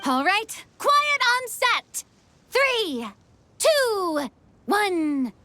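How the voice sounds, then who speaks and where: A voice actress playing an exuberant, theatrical young woman film director in an animated game, commanding and encouraging. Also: very high voice, drawn-out words, full character voice. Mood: brisk, commanding. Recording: music behind the voice.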